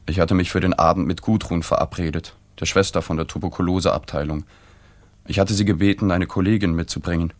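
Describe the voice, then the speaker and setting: An actor playing a sober journalist who narrates a radio drama in a matter-of-fact, observant way. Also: male voice, low-pitched, steady tone, a little fast, dry recording, lightly acted. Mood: detached, observant. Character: sober male journalist narrating his reporting.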